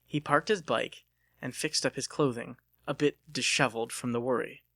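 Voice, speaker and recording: A man's voice: medium pitch, steady tone, moderate pace, a bit bright, no room sound, studio quality. A man narrating an audiobook as a dramatic scripted reading.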